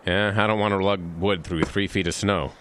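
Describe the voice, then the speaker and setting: An actor playing a stubborn, reassuring boyfriend in an audio drama, with an emphatic, expressive delivery. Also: male voice, low-pitched, moderate pace, a little hiss or hum. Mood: cocky, cheerful. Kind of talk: audio drama acting with inner-monologue narration.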